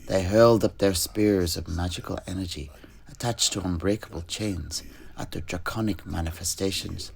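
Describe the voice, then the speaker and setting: A man as a gravelly, wistful truth-sayer storyteller. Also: low-pitched, steady tone, a little hiss or hum. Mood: awed, grave. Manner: measured, dramatic, poetic delivery. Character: wistful male alchemist truth-sayer recounting his homeland. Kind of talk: in-character fantasy storytelling.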